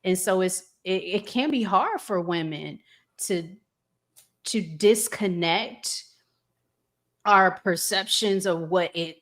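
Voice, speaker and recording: A woman hosting a casual relationship podcast, reflective and pausing to find her words. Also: on the low side. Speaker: podcast host.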